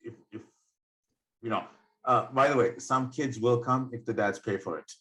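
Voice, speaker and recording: A man's voice: mid-range voice, normal speed, fairly bright tone. A man in his fifties talking casually on a group call, putting forward plans and questions. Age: 50s. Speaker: participant proposing travel plans on group call. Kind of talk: casual discussion.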